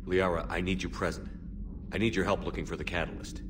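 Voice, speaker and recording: An actor playing a steady, supportive military commander in his thirties in a video game, calm, direct and reassuring. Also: low voice, fast talker, noisy background, close to an everyday voice. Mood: sober, steady. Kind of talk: video game cinematic acting.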